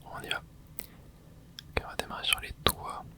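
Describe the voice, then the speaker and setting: A man in his twenties, whispering softly as he comments on his gameplay for viewers. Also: measured pace. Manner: soft whispering, with excited asides to viewers. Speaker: gaming ASMR creator.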